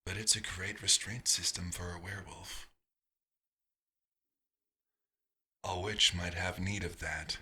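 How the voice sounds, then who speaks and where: A man voicing a centuries-old vampire doctor, wistful and dryly wry, in a soft-spoken ASMR roleplay with a husky, breathy voice and halting, musing pauses. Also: low-pitched, steady tone, heavily acted.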